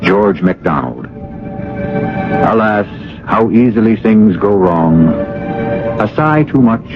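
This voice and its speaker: Man, radio mystery host, lowish voice, sweeping intonation, dramatic literary delivery, dull old broadcast. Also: lively intonation, slow, music in the background. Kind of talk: theatrical scripted radio narration.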